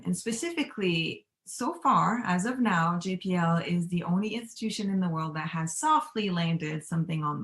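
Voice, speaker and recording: A woman in her thirties from Quebec, giving a chatty talk with many fillers and restarts. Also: on the low side. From Quebec, Canada. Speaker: guest speaker, aerospace engineer. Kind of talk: casual presentation talk.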